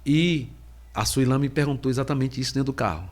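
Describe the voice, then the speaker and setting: A middle-aged man speaks slowly, in halting phrases with long pauses. He has a cold, and his voice sits at an ordinary pitch for a man. He is a preacher telling his own story of faith on a podcast. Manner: slow, halting, heavy pauses. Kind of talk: personal faith testimony.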